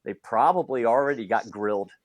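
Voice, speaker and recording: A man's voice: medium pitch, fairly quick, no echo. Male podcast host, dry close sound. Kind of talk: conversational interview.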